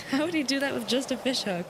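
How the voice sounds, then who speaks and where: Woman acting an anxious young niece, high-pitched. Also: fairly quick, background noise. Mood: nervous, evasive. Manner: hesitant, evasive. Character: anxious, defensive young niece captaining a small boat. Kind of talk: audio drama dialogue.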